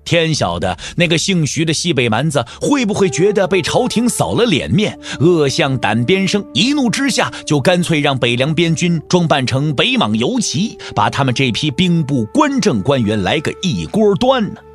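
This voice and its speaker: A man narrates a martial-arts novel as a dramatic storyteller. His voice sits a little high and moves with lively swings, wry and theatrical, over soft music. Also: normal speed, hardly any pauses. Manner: theatrical, wry, with rhetorical questions. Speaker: audiobook narrator.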